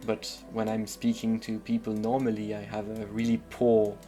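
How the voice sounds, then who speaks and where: A man with a French accent talks through a game at a calm, even pitch that barely rises or falls. He drifts between stories and puzzles, pausing on fillers and half-finished thoughts, with faint music underneath. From southern suburbs of Paris, France. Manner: hesitant, thinking aloud with many fillers. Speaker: streamer. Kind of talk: casual gameplay commentary.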